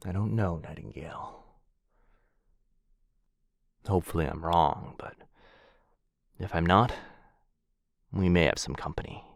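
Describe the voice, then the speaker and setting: A courtly vampire lord, played by a man, low-pitched and closely recorded with a dry sound. He is protective, tender yet capable of ferocity, in the manner of an intimate fantasy audio roleplay. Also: a little slow, gravelly, pauses often, no echo, full character voice. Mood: hushed concern. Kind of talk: intimate ASMR boyfriend roleplay acting.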